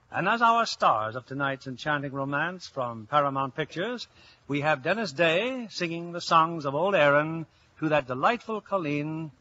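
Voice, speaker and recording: A man's voice: on the high side, bright, forceful tone. A man giving a genial, florid scripted introduction as host of an old-time radio show. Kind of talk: formal scripted golden-age radio introduction. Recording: dull old radio recording.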